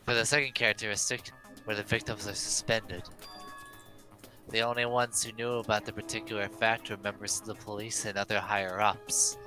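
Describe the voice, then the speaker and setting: Smug young male aristocrat , played by a man, declaiming in a lowish sharp voice over faint game music. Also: little pitch movement, brisk, low-quality recording. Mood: lecturing. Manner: pompous, declaiming. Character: haughty, pompous young heir relishing a murder mystery.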